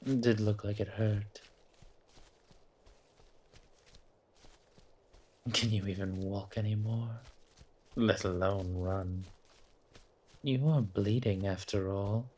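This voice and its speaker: Man acting a forest monster, husky and breathy, low, drawling, playful menace, possessive, horror roleplay. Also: steady tone, darkish tone. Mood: menacing taunting. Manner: slow, teasing, drawn-out menace. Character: taunting male forest monster hunting its prey, possessive, playful.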